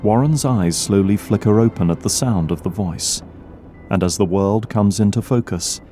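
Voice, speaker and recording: A man's voice: on the low side, moderate pace, mellow. A man delivering dramatic scripted narration as the narrator of an audio drama.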